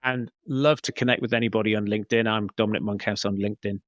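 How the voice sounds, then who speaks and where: A man's voice: on the low side, fairly even intonation, fast, no room sound, highs cut off. A man giving conversational interview answers as a podcast guest, explaining things as he thinks aloud. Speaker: podcast guest, business coach.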